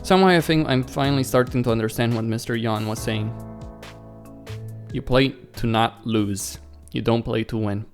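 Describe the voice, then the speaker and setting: A man's voice: mid-range voice, normal speed, faint music behind, natural voice. A man voicing a weary, self-doubting young pro gamer's inner thoughts in a visual novel, brooding and questioning himself. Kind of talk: visual novel read-aloud.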